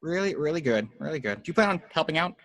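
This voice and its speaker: A man playing a quippy, cocky middle-aged superhero in an amateur table read, glib and brisk. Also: fairly high pitch, expressive, fairly bright tone. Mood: wry.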